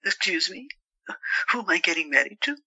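A woman's voice: lowish voice, lively intonation, brisk, bright, forceful tone, breathy edge, no room sound, highs cut off, full character voice. A woman playing a protective, skeptical, worried sister in an audio drama, reasoning and pleading. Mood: playful.

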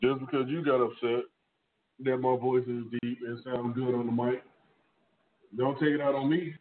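A man's voice: medium pitch, level tone, moderate pace. Guy in a casual chat, kinda far from the mic, tinny phone sound. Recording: distant, thin phone-like sound.

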